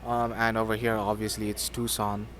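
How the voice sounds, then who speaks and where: A man in his twenties from Pakistan, a student whose first language is Sindhi, answering interview questions in a relaxed, hesitant way with many fillers. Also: on the low side, moderate pace, a little hiss or hum. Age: 20s.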